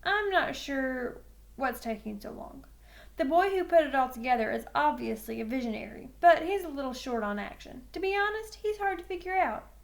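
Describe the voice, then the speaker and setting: A woman's voice: high-pitched, animated, moderate pace, gravelly. A woman voicing an earnest, long-winded man at a school who hems and haws in a storybook. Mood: sheepish, admiring. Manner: hedging, rambling, earnest. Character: earnest, long-winded male school staffer, admiring and hesitant. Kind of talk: storybook character voice.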